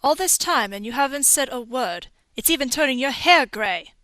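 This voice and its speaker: A woman in a game fan dub playing an angry, bitter, sharp-tongued woman, scornful and confrontational. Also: high voice, lively intonation, normal speed, no room sound. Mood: furious, scornful.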